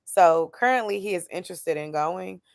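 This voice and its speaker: A woman's voice: on the low side, moderate pace, vocal fry, darkish tone, dry recording, studio quality. Candid woman in her late twenties. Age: 20s. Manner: candid, thinking aloud about plans, reads chat comments. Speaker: YouTuber doing a weekly check-in. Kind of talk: casual chat with a live chat.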